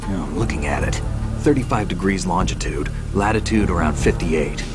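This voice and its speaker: Man playing gruff special-ops soldier, very low gravelly voice, curt commands, game cutscene. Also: moderate pace, music in the background, close to an everyday voice. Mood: terse. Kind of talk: video game voice acting.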